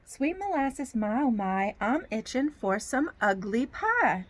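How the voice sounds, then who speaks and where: A woman voicing a hungry old male bear from a storybook, with a Southern accent and folksy, drawn-out sing-song phrasing. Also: sits high, big pitch swings, pitched above the performer's own voice. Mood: sing-song, yearning.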